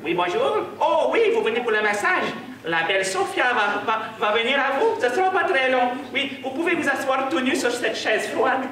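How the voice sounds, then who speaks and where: Animated Quebec French man improviser. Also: very high-pitched, normal speed, few pauses, recorded from a distance. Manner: animated, comic character play. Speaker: improv performer. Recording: echoey room from afar, noise.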